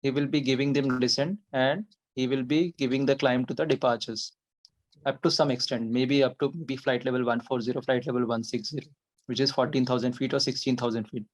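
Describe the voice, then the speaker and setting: A man's voice: medium pitch, steady tone, fairly quick, poor audio. A man with an Indian accent, giving a methodical, step-by-step technical explanation as an interview guest. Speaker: air traffic controller, podcast guest.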